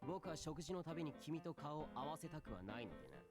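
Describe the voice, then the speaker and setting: An actor playing a haughty, sharp-tongued young recluse in a Japanese visual novel, declaiming in a pompous, theatrical way. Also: male voice, on the high side, sing-song, racing. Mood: curt, haughty. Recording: game music behind the voice.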